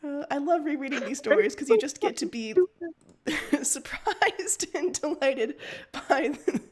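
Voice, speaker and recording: A woman's voice: sits high, animated, measured pace. A woman co-hosting a livestream, chatting casually in an upbeat, giggly manner. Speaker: livestream co-host. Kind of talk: casual chat.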